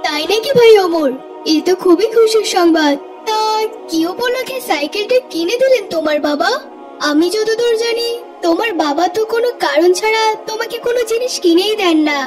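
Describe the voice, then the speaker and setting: An eager young cartoon schoolboy acted out by a performer, with an extremely high voice. He is earnest and headstrong, and music plays behind him. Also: lively intonation, moderate pace. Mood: cheerful, curious. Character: eager young schoolboy, pleading then stubbornly demanding. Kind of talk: cartoon voice acting.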